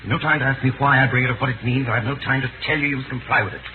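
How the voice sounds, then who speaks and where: An earnest Englishman set on sacrificing himself, played by a male radio actor, gives solemn, pressing orders in a theatrical 1930s manner. His voice sits at a middle pitch, heard through a narrow, crackly old broadcast recording. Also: steady tone, racing, close to an everyday voice. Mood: urgent, pressing. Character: earnest, urgent Englishman resolved on self-sacrifice. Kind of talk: 1930s radio drama acting. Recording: scratchy, band-limited 1938 radio recording.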